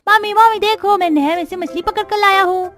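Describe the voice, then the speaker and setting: A woman's voice: very high voice, fairly quick, a bit bright, pitched above the performer's own voice. A woman voicing an eager, exclaiming little village boy in a cartoon. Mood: excited.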